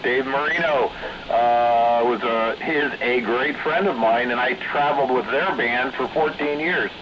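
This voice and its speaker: A middle-aged man in casual chat over CB radio, speaking in halting, hesitant phrases. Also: mid-range voice, muffled recording. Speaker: CB radio operator. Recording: noisy CB radio transmission.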